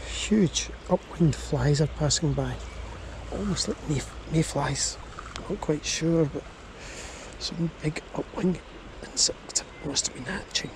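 A man giving casual, murmured running commentary as he fishes, breaking into exclamations, recorded on a body-worn camera outdoors. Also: on the high side. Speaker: angler and vlogger. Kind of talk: casual first-person fishing commentary. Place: outdoors, wading in a small river. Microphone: body-worn action camera. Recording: outdoor action camera, constant water noise. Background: running river water.

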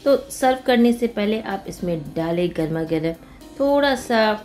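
A woman's voice: medium pitch, animated, a little slow, darkish tone. A woman with an Indian accent narrating a recipe step by step as a cooking host, informally and with English words mixed in. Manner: mixes English words and phrases into her talk. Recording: music behind the voice. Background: background music.

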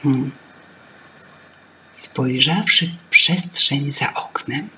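Slow, expressive woman storyteller, muffled recording. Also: very deep voice, a little hiss or hum. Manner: expressive, lingering, with whispered asides. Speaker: author reading her own fairy tale. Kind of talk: storybook narration for children. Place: small room at home with paintings. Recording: dull lo-fi home recording.

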